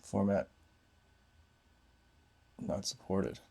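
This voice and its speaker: A man's voice: sits low, extremely slow, vocal fry, a little mellow, husky. A man giving a casual, unscripted hands-on gadget review, thinking aloud in short fragments.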